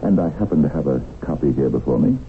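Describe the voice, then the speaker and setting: A man's voice: very deep voice, lively intonation, fast talker. An actor playing a sly, stingy businessman in a 1970s radio drama, pedantic and mock-courteous. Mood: smug, oily. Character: sly, stingy, smoothly officious swindling businessman. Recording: muffled, noisy 1970s radio broadcast.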